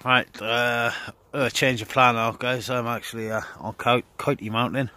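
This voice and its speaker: A man's voice: mid-range voice, steady tone, unhurried, bright, forceful tone. A man chatting casually as a radio hobbyist recording a vlog outdoors on a handheld device. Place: outdoors at night on a hillside. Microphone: handheld camera or phone.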